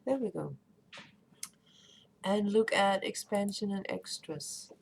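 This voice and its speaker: A woman's voice: mid-range voice, slow pace, creaky. Chatty woman streaming a game. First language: Danish. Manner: chatty, rambling, reads chat aloud. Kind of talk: casual live-stream chat while gaming. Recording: faint background noise.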